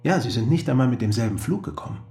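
A man's voice: mid-range voice, lively intonation, quick pace, warm tone, lightly acted. An actor playing an earnest, persuasive male friend in a radio drama.

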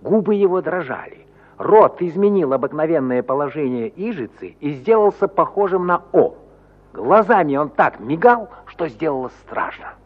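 A man's voice: on the high side, expressive, moderate pace. A man narrating a literary radio play in a theatrical, dramatic storytelling manner. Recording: tinny, narrow-band 1950 radio recording.

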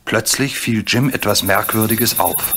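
A man narrating a German radio play in an expressive, suspenseful storybook style. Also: fairly low pitch, unhurried, heavy background noise.

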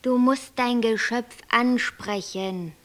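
Creator deity for poetic radio drama: fairly high voice, cool, didactic, commanding. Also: female voice, slow pace, a bit bright. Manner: didactic, matter-of-fact, commanding. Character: aloof, bossy creator deity, coolly logical. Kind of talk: poetic radio play dialogue.

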